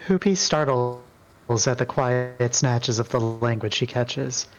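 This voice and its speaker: A man's voice: medium pitch, steady tone, takes it slowly. A man reading scripted story narration in a dramatic reading. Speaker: reader in a group dramatic reading.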